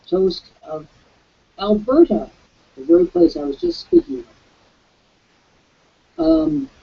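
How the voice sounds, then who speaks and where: A man hosting a live online webcast, with a croaky voice, giving an emphatic, preacherly sales pitch. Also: sing-song, slow pace, highs cut off. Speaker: webcast host.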